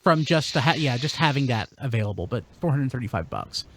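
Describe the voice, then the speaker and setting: A man hosting a casual podcast, chatting in an animated, exclamatory way. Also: medium pitch, brisk, a little hiss or hum. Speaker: podcast host. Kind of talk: casual toy-news podcast chat.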